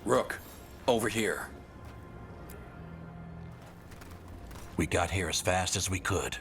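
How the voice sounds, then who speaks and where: A dependable fantasy game scout with a deep voice. He is serious and measured, and music plays clearly underneath. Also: lively intonation. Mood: urgent. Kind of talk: video game voice acting.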